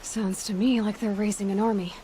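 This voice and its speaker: An actress playing a determined, guarded young huntress in a video game cutscene, questioning someone in a terse, commanding way. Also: female voice, medium pitch, flat delivery, fairly quick, dark tone, a little hiss or hum, lightly acted. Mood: probing, determined.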